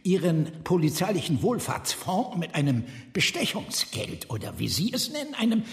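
An actor playing a haughty, sardonic, quick-tempered eccentric in a radio drama, articulate and theatrical in his delivery. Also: high-pitched, very animated. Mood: sly, sardonic. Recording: echoey, reverberant room.

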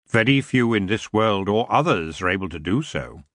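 Guy reading elf king, low slow smooth drawl, lazy and dangerous, very dry audio. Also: lively intonation, fairly bright tone, dry recording. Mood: languid. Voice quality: smooth, unhurried. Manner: lazy, drawn-out, coolly polite. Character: ancient antlered male elf king, lazy and menacing. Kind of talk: audiobook character voice.

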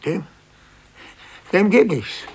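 An actor playing a browbeaten, blustering, middle-aged office clerk who stammers and gets flustered, in a 1950s German radio play. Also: male voice, sits high, very animated, drawn-out words, darkish tone, heavily acted. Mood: blustering, indignant. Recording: hissy 1950s radio recording.

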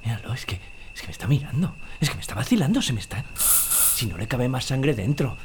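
A man's voice: medium pitch, sing-song, fairly quick, a little hiss or hum, natural voice. A man acting an exasperated camper tormented by mosquitoes in a radio drama, grumbling and swearing as he repeats himself. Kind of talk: naturalistic radio drama dialogue. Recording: loud insect buzz and ambience. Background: crickets and buzzing mosquitoes.

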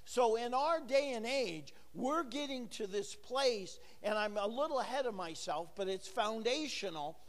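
Slow, high-voiced preacher in a reverberant church. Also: male voice, lively intonation. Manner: conversational, jokes with the congregation, asks rhetorical questions. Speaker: pastor. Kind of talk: sermon. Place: church sanctuary. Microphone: handheld or lapel microphone, recorded for a booth.

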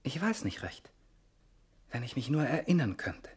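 A man acting in a 1960s German radio play as a wary, brooding nephew, terse and questioning, with a husky voice. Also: medium pitch, big pitch swings, unhurried, full character voice. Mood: hushed, pondering. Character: wary, brooding male nephew puzzling over a stranger.

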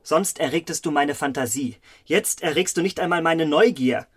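A cold young gentleman of a literary radio play. His voice is lightish and husky, in a very dry recording. He speaks with vain, cutting contempt. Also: fairly high pitch, normal speed, no room sound. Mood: bitter scorn.

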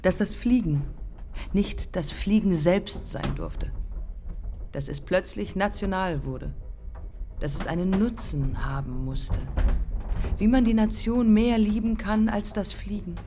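An actress playing a bitter, haunted pioneer woman pilot in a poetic radio play, with deliberate, fragmentary phrasing. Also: medium pitch, dark tone, soft background music. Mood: grave, haunted. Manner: deliberate, fragmentary, incantatory phrasing with repeated lines.

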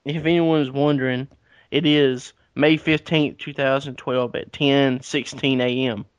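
A man co-hosting a podcast, trading dry deadpan jokes in casual banter. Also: medium pitch, measured pace, clear, resonant tone, no echo, dull audio. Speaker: podcast co-host. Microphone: podcast mic.

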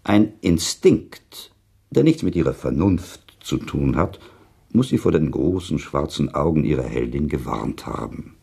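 Worldly ironic gentleman, super deep husky voice, picks arguments apart in a radio play. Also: animated, unhurried, heavily acted. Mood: ironic, insistent. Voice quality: gravelly, husky. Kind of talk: German radio play, literary dialogue.

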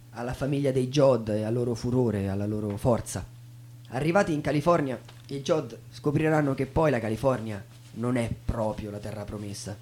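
A man giving a theatrical dramatic reading as a stage narrator, with solemn, measured phrasing. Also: mid-range voice, dark tone. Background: acoustic guitar music.

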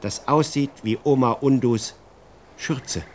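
A man narrating a children's radio story from a script in an expressive storytelling manner. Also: mid-range voice, slow, a little hiss or hum.

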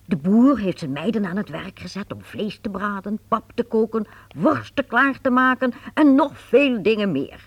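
A narrator telling a radio play story in a lively, descriptive manner. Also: medium pitch, normal speed, few pauses, slightly rough, no echo, lo-fi sound.

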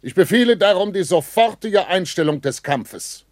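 An actor playing a German army captain who speaks in a formal, solemn military manner in a 1960s radio play. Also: male voice, high voice, lively intonation, moderate pace, a little mellow, no echo, clean studio sound. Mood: solemn, resolute.

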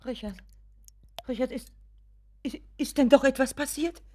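A woman's voice: high voice, unhurried, a little mellow. An actress playing an anxious, doting mother in a German radio drama, fussing and apologetic, often dropping to a whisper. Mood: pleading, desperate. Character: anxious, doting, pleading mother of grown son.

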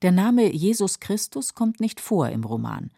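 A woman narrating a scripted literary radio feature in a measured, explanatory manner. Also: lowish voice, big pitch swings, vocal fry, warm tone, breathy edge, dry recording, studio quality. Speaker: documentary narrator.

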